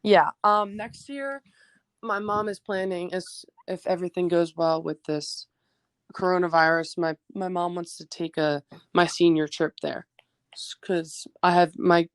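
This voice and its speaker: A teenage girl from New Jersey whose first language was Greek, answering questions in a loose, hesitant way full of "um" and "like." Her voice sits low for a girl, and the recording is close and dry. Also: unhurried, no echo. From New Jersey, USA. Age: teens. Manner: hesitant, many fillers like "um" and "like". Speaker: high school basketball player, guest. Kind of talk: casual interview answers.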